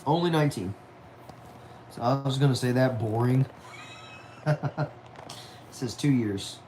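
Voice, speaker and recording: A man's voice: medium pitch, slow, mellow, a little hiss or hum, poor audio. A man of about twenty chatting casually on a livestream at home, reading viewer messages aloud in a laid-back, talkative way, dropping "y'all" and "man". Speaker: livestream host.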